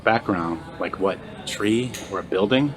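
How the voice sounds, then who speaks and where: Low-pitched, sarcastic, suspicious scruffy guy actor. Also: measured pace, faint music behind, close to an everyday voice. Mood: evasive, casual. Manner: sarcastic, blustering, hesitant when pressed. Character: scruffy, suspicious, sarcastic male insomniac musician. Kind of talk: naturalistic audio drama dialogue.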